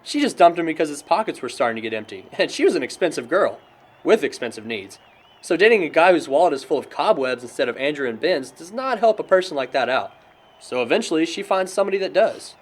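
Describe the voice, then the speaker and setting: A man's voice: on the high side, very animated, fast talker. A young American man playing a wry, chatty, gossipy friend with a sarcastic streak in an audio drama. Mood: defensive. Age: young adult. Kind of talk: conversational audio drama acting.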